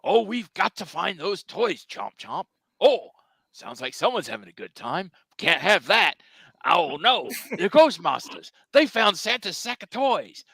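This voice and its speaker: Plucky cartoon hero, voiced by a table reader, earnest and exaggerated, creaky edge, dry close sound. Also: mid-range voice, sing-song, a little slow, a bit bright, dry recording, heavily acted. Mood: determined. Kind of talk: live comedic table read.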